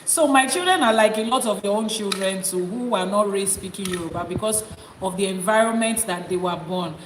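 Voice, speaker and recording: A woman's voice: mid-range voice, a little fast. A woman, teaching viewers on a live stream as an Ifá priestess, coaxing them with repeated questions and breaking into rhythmic chanted invocations. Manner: rhythmic, rising chant in long litanies. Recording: echoey reverberant room with background noise.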